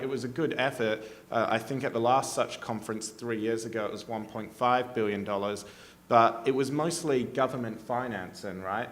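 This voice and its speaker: A man's voice: on the low side, a little hiss or hum. Australian man speaking on a panel. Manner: earnest, thinks aloud with many "you know"s. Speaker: panelist, advocacy group executive. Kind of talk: panel discussion. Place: conference hall.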